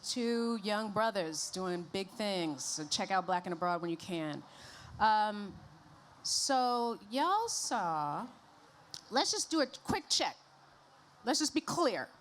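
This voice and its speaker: A woman moderating a conference panel, talking to the crowd in an energetic, emphatic way. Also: medium pitch. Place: stage at an advertising festival.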